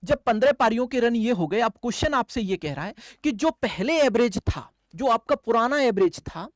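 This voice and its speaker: A man's voice: very high-pitched, expressive, moderate pace, dry recording, studio quality. A man teaching maths for exam coaching, explaining step by step, repeating key phrases and answering his own questions. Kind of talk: classroom lecture, step-by-step explaining.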